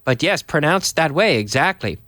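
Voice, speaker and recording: A man with a cold and a hoarse voice, teaching English live to viewers in a chatty, slow, explaining way. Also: a little high, animated, fairly bright tone, dry recording, clean studio sound. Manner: chatty, reads viewer messages aloud and explains slowly. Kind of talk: live online English lesson.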